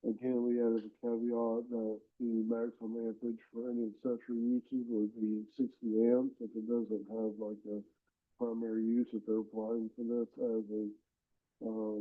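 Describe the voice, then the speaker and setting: A man's voice: medium pitch, normal speed. A man, congested from a head cold, giving a formal presentation to a county board and reading legal text aloud. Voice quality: nasal. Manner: polite, steady, reads legal text aloud. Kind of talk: formal presentation at public meeting. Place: county commission meeting room. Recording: phone-like meeting audio.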